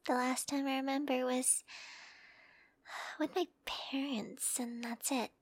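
A young woman with a high, light voice speaks in a soft, giggly whisper, as if talking straight to the listener in an audio roleplay. She takes her time, drawing out her words slowly, close to the mic in a dry, dead room. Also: level tone, no room sound. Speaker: roleplay performer.

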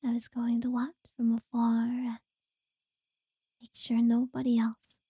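A woman voicing an obsessive, possessive captor in a whispered audio roleplay, slow and unsettlingly sweet. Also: a little high, monotone, no room sound, exaggerated voice. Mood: creepy tender. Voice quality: soft breathy whisper.

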